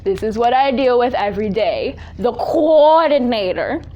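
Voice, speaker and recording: High-pitched woman, dramatic comic storyteller. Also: sing-song, a little slow, highs cut off. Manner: dramatic, exaggerated, acts out family dialogue; drops Spanish phrases into English. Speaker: storyteller, host of a personal story series. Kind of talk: animated comic personal storytelling, casual chat.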